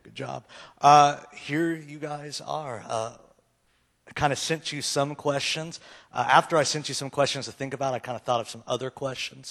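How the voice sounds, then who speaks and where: A man's voice: mid-range voice, creaky, fairly bright tone. Male emcee, easygoing, filler-heavy. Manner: loose and hesitant, many fillers. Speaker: host. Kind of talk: casual emcee Q&A.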